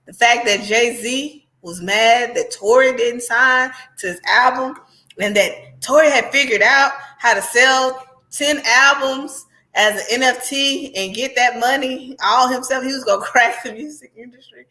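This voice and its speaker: A woman's voice: on the high side, a little slow, sharp tone, low-quality recording. A woman hosting casual reaction commentary, talking straight to her viewers in a conversational, sardonic way. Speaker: commentary host.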